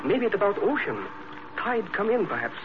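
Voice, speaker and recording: A noisy, muffled old radio drama recording carries a loyal valet and driver. His high voice swings widely as he works things out in halting, clipped broken English. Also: very animated. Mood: puzzled, pondering. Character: Green Hornet's loyal, puzzled valet and driver. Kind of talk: 1940s radio acting.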